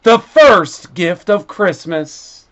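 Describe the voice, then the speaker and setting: A man voicing a grand, jolly old Father Christmas figure from a children's storybook, declaiming loudly. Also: high-pitched, expressive, extremely slow, voice pushed up, heavily acted. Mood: triumphant. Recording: dull, lo-fi recording.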